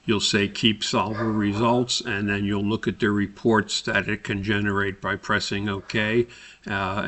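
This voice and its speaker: A man narrating a step-by-step software tutorial as an instructor, explaining things in a deliberate, somewhat hesitant way. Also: lowish voice.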